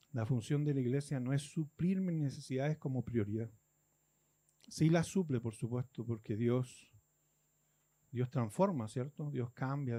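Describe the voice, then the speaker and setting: A man's voice: medium pitch, mellow. A man from Guatemala, preaching a conversational sermon and acting out churchgoers' complaints.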